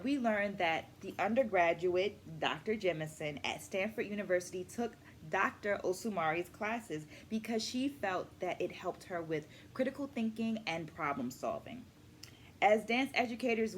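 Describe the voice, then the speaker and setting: A middle-aged woman presenting a scripted book review to camera as an educator, in a measured, articulate way. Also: mid-range voice. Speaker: host, dance educator. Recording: clearly noisy room ambience.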